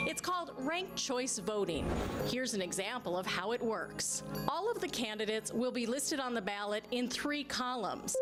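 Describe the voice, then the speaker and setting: A woman reading a scripted instructional narration as an explainer video narrator. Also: a little high. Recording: music behind the voice. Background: background music.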